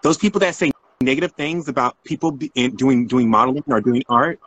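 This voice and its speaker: A man's voice: mid-range voice, a little fast, a bit bright, a little hiss or hum, low-quality recording. A man speaking casually as an interview guest, earnest and often repeating his phrases.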